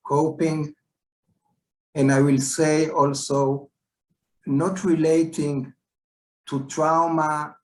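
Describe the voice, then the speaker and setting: Slow-spoken Israeli man in his seventies. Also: medium pitch, a little mellow, poor audio. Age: 70s. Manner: slow and reflective, with frequent pauses and fillers. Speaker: guest psychologist. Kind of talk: virtual talk. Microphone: video call.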